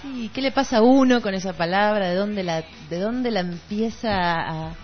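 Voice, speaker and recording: A woman's voice: medium pitch, normal speed. A woman with a Rioplatense Argentine accent co-hosting a casual radio show, talking playfully and often repeating words and phrases. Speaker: radio host. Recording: dull lo-fi radio, faint music behind.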